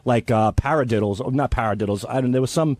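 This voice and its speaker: A man's voice: medium pitch, quick pace, darkish tone, no echo. A man in casual, profane radio banter, defending himself with bluster and stammered repeats. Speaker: radio show regular, interviewed guest.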